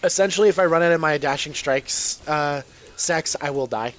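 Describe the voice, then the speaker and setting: A man's voice: a little high, moderate pace, fairly bright tone, a little hiss or hum. Congested man rambling over gameplay. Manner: rambling, self-correcting, frequent ums. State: congested from a cold. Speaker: gameplay commentator. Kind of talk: casual game commentary.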